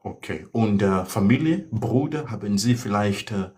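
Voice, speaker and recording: A man's voice: on the low side, lively intonation, moderate pace, a little mellow. A man teaching a live German class, encouraging his students with many okays and mhms. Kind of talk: live online language class.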